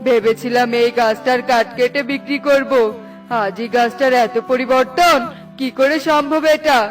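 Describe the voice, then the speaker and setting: A woman voicing a good-hearted, excitable male pigeon woodcutter in a cartoon, earnest and full of exclamations. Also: high-pitched, moderate pace, soft background music, lightly acted. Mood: astonished. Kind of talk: cartoon voice acting.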